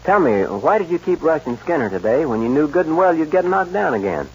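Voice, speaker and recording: An actor playing a level-headed, sociable ranch foreman in a 1940s radio western, brisk and commanding yet friendly. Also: on the high side, sing-song, a little mellow. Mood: concerned, friendly. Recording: noisy, dull old radio recording.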